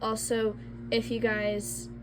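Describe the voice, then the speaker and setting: A woman's voice: on the high side, completely flat delivery, slow pace, background noise. A young woman, a little congested from allergies, chatting casually and self-deprecatingly as she shows her old drawings. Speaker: art vlogger. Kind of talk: casual sketchbook tour.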